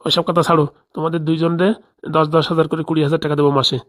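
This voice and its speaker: A woman's voice: deep voice, little pitch movement, rapid-fire, natural voice. A woman voicing a crooked, bossy male village boss in a comedy cartoon, scheming aloud with a rural Bengali accent. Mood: scheming, affable.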